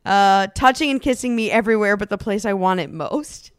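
A woman's voice: mid-range voice, fairly quick, clean tone, no room sound. Woman, podcast co-host, casual banter, reads aloud playfully, dry close sound. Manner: reads aloud from a book with playful teasing asides.

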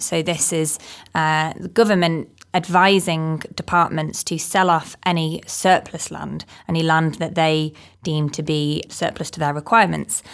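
A woman walks through research findings in a calm, explanatory manner on a discussion panel. Her voice sits on the low side, with a steady gravelly fry running through it. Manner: measured, explanatory.

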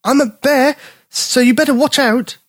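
A small, good-hearted bear cub, put on by a storybook narrator, lifted well above the reader's own voice. His voice is breathy and lively, recorded close and dry. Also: sits high, a little slow, dry recording, pitched above the performer's own voice. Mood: alarmed. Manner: playful, earnest. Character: young male bear cub, teasing and kind.

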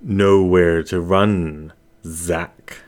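Casting: male reader as vengeful shadow stalker for a thriller story, low-pitched, slow, menacing taunts. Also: steady tone.

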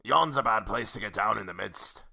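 A man's voice: lowish voice, lively intonation, normal speed, vocal fry, pushed and bright, full character voice. Doomsayer, male performer, piratical drawl, grim storybook character. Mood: foreboding. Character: rustic British man giving grim warnings.

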